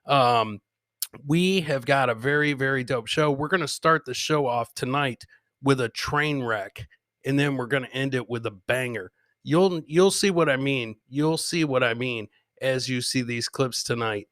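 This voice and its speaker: A man's voice: mid-range voice, a little slow, fairly bright tone, dry recording, studio quality. A man hosting a live show, chatting casually and slangily with his viewers and often repeating himself. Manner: slangy, repeats himself, addresses listeners directly. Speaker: podcast host.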